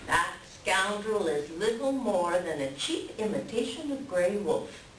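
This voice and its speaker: Woman playing an excitable cawing raven, echoey room. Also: medium pitch, measured pace. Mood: scornful, indignant. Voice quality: harsh cawing outbursts. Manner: theatrical, exclamatory. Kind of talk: readers theater animal folktale performance. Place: library room at a live reading. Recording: roomy, distant live recording with noise.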